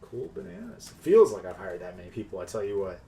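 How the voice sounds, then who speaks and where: Animated joking streamer guy. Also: lowish voice, quick pace, gravelly, a little hiss or hum, low-quality recording. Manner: joking, upbeat, laughs often. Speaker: streamer playing a card game. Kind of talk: casual chat while streaming.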